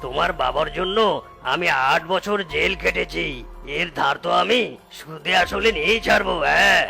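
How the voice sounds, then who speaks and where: A woman voicing a vengeful male kidnapper boss in a cartoon, gruff and gravelly. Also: fairly low pitch, moderate pace, bright, forceful tone, faint music behind, voice pushed down, exaggerated voice. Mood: menacing.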